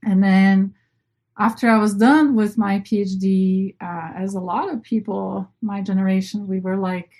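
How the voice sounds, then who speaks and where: A middle-aged woman who grew up in Brazil with Portuguese as her native language speaks as an interview guest. Her voice is mid-pitched, and she talks informally, rephrasing as she goes. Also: fairly even intonation, unhurried, a little mellow. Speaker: interview guest, marine biologist. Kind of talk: casual personal storytelling.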